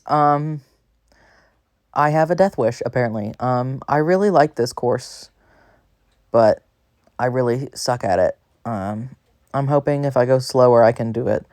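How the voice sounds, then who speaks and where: A man's voice: medium pitch, a little slow, pauses often, a little mellow, no room sound, studio quality. A man rambles casually while playing a video game, chatty, self-deprecating and easily sidetracked. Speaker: gaming video creator. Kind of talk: casual rambling gameplay commentary.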